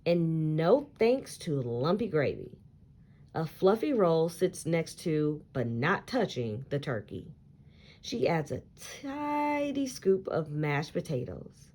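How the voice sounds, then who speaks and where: A woman reading a children's story aloud in an expressive, deliberate storytelling style. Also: low voice. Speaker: picture book read-aloud reader.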